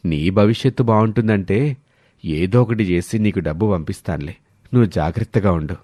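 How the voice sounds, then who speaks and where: A man's voice: lowish voice, brisk, warm tone, dry recording, close to an everyday voice. A man voicing an old, stubborn but tender-hearted village father in scripted story dialogue. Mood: sad, tender.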